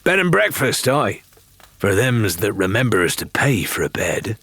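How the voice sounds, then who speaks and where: A big, whiskered innkeeper of a pirate tavern, acted by a man. He talks in hearty, folksy, rustic phrases with a husky edge. He is jovial and at ease, in a dry audiobook recording. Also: lowish voice, sing-song, a little slow, fairly bright tone, no echo, full character voice. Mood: wry. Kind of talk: audiobook character voice.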